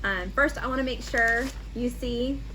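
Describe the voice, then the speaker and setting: A woman's voice: a little high, unhurried, pushed and bright. A woman speaking as a children's church storyteller, introducing story time for young children from home.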